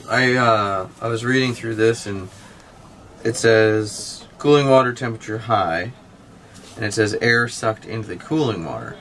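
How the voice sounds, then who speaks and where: A man's voice: lowish voice, fairly bright tone, a little hiss or hum, low-quality recording. A man in his thirties, a sailing vlog host, casually thinking aloud as he works through an engine problem inside his boat's cabin. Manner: thinking aloud while troubleshooting. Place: cabin of a sailboat.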